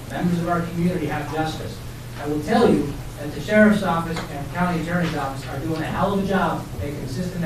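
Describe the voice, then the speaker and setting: A man speaking informally and persuasively at a public meeting, as a plainspoken public defender. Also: a little high. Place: public meeting room indoors. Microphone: distant room microphone. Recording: very echoey, noisy, far from mic.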